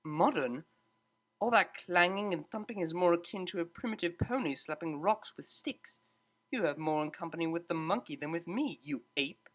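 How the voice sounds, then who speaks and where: A woman's voice: on the low side, moderate pace, close to an everyday voice. Woman as a prim, haughty cellist mare. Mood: scornful. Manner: precise, formal, condescending.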